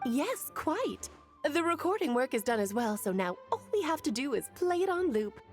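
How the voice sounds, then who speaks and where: A woman voicing an ageless, cheerful and wise witch who speaks in a lilting, gently teasing way. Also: high-pitched, big pitch swings, normal speed, soft background music. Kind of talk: video game voice acting.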